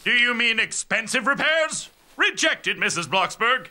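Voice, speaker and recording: A man's voice: very high-pitched, animated, unhurried, bright, forceful tone, exaggerated voice. A man voicing a pompous, grumpy, bossy middle-aged mayor in a cartoon, blustering and imperious. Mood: dismissive. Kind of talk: animated cartoon voice acting, English dub.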